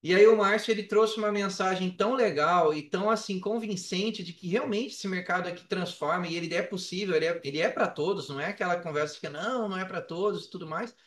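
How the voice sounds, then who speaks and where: Male podcast guest, Brazilian accent, high register, animated colloquial storyteller, recorded roomy and a little off the mic. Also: fast, few pauses. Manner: animated, colloquial, acts out quoted dialogue. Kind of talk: casual personal storytelling in an interview. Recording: roomy echo, distant from microphone.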